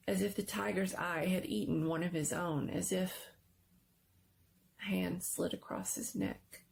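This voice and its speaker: A woman giving a dramatic, suspenseful narration of a scary story, sometimes hushed and lingering on words. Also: on the low side, creaky, slight background noise. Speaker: story reader.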